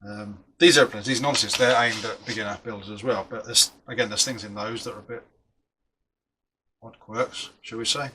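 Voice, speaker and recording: A middle-aged man talks casually while he works on a model at his bench. His voice is bright and forward. He rambles and restarts his sentences, and there is a faint hiss of noise behind him. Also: lowish voice, fairly even intonation, moderate pace, low-quality recording. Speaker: model aircraft builder and channel host.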